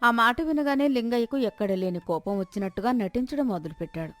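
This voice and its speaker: A woman's voice: on the high side, brisk, faint music behind. A woman reading a scripted moral story as its narrator.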